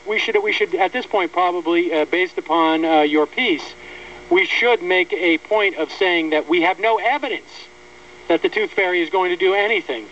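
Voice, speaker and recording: High-voiced American male radio host, halting. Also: a bit bright. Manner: halting, wry, repeats words while forming points. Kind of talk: conversational political radio interview. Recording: distant, dull lo-fi radio audio, faint hum.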